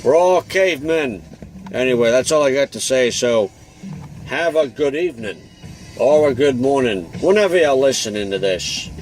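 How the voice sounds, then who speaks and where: Guy doing a old timey private dick monologue, mid pitch, slangy n grumbly, rambles, jazz sax playing behind. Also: animated, normal speed, a little mellow, lightly acted, low-quality recording. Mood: wry, grumbling. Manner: slangy, offhand, grumbling, self-interrupting. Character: wisecracking, rambling, world-weary old-time private detective man. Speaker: narrator and host of a comic monologue. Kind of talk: noir private-eye monologue, improvised rambling. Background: jazz music with saxophone.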